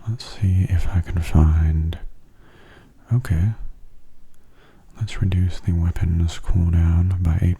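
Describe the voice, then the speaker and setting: A man giving soft-spoken ASMR commentary over a game in a hushed, breathy murmur, calm and unhurried, close to the microphone. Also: deep voice. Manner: calm, unhurried, musing aloud. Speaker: gaming ASMR host.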